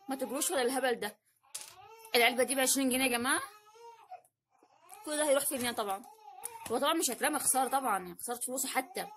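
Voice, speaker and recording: A woman with an Egyptian Arabic accent chatting casually as host of an unboxing vlog, repeating phrases for emphasis in an animated way. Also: fairly high pitch. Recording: noisy home recording, crinkling packets.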